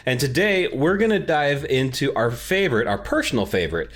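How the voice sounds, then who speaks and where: A man's voice: medium pitch, sing-song, brisk, fairly bright tone. A man hosting a podcast in an informal, conversational, self-deprecating way, chatting casually. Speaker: podcast host. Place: home office without soundproofing. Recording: echoey untreated home office, music underneath. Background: underlying background music.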